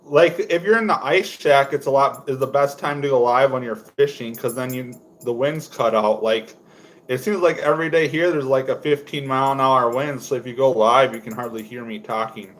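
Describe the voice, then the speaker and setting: A young man from Wisconsin with a low voice, chatting casually on a home livestream while making fishing lures, rambling with lots of fillers. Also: steady tone, normal speed. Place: indoors at home.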